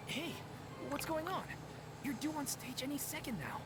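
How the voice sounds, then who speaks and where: An actor playing a concerned, excitable young theatre troupe member in a video game, with an emotive delivery. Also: male voice, very high-pitched, normal speed, loud music behind the voice. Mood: worried. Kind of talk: dramatic video game acting.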